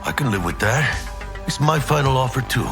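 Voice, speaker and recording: An excitable young street mercenary played by a man in a video game. His pitch swings freely as he talks in cocky slang. Loud music plays behind him. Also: normal speed, natural voice. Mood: indignant.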